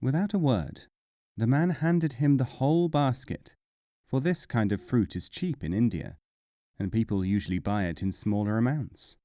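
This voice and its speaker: A man narrating short tales as an audiobook, with measured, deliberate phrasing and dramatic pauses. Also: medium pitch, mellow, no room sound, studio quality. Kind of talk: scripted storytelling narration.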